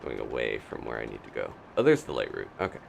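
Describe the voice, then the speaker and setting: A man's voice: extremely low pitch, wildly swooping pitch, fast talker, vocal fry, faint music behind. A man giving casual live video game commentary as a streamer, musing to himself and thinking aloud as he plays.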